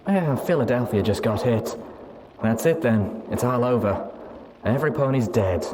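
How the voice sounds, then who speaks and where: Guilt-ridden survivor pony for an audio drama, acted out: soft, halting, distraught, widely swinging pitch, under heavy background noise. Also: animated, close to an everyday voice. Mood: despairing, remorseful. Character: grieving, guilt-ridden doomed pony on a last recording. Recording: very noisy, rumbling wartime field recording. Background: sirens and bombardment noise.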